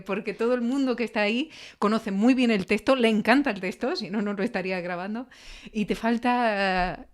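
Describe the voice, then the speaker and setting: A woman from hosting a conversational interview, curious and openly appreciative of her guest. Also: a little high. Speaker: podcast host and interviewer.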